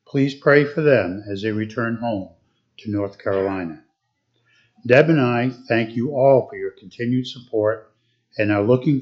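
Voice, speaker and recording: A man reading a formal letter aloud to a church congregation in a steady way. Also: lowish voice, highs cut off.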